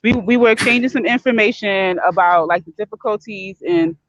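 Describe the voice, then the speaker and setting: A man's voice: sits high, a little fast, a bit bright. A man in a voice chat, rambling and hesitant, with frequent fillers. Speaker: chat participant. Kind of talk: casual group chat. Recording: dull lo-fi mic with faint noise.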